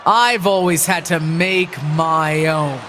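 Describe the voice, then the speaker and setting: A woman's voice: deep voice, takes it slowly, background noise. A woman playing a bitter, scheming older wrestling legend in a video game, taunting and condescending like a promo. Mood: condescending, proud. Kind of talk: dramatic wrestling promo acting.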